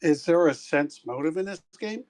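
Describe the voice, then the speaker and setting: A man playing a tabletop game, speaking in a slow, hesitant way. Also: fairly high pitch, animated, a little mellow. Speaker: player.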